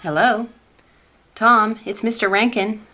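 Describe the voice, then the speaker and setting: An actress playing a supportive but skeptical office secretary with a dry, matter-of-fact manner in a comedic radio play. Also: female voice, medium pitch, fairly even intonation, slow, pushed and bright, a little hiss or hum.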